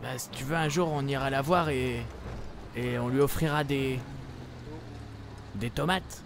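A young man improvising roleplay chat in a hesitant, halting way, on a close streaming mic. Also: medium pitch, steady tone, noisy background. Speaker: livestreamer playing a roleplay game.

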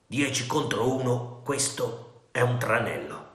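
A man's voice: medium pitch, animated, unhurried, fairly bright tone. A man voicing a stern, wary ship captain who gives curt commands. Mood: commanding. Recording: roomy echo.

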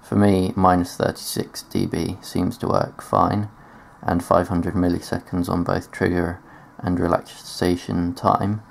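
A man's voice: low-pitched, flat delivery, measured pace, gravelly, hardly any pauses. A man giving a casual tech demonstration in a matter-of-fact, explanatory way, presenting gear on video indoors. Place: indoors at a desk.

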